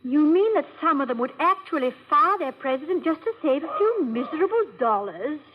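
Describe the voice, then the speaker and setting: High-voiced, affectionate wife, old radio. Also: female voice, big pitch swings, brisk. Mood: fond, amused. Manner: gentle, playful. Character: affectionate, teasing wife of a college president. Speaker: actress in a radio comedy. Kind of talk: 1950s radio acting. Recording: dull, noisy old radio recording.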